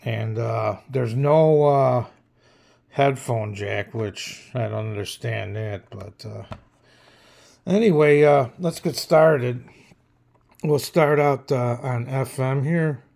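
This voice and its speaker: Slow-talking man casually reviewing gadgets. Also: medium pitch, gravelly, darkish tone. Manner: hesitant, unscripted, plain-spoken. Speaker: radio reviewer. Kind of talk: casual hands-on product review.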